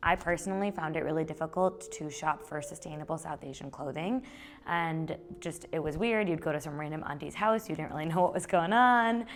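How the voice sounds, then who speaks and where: A woman's voice: sits low, a little fast. A young woman, founder of a clothing company, talking conversationally in an interview. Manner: relaxed, conversational. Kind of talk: interview, personal story.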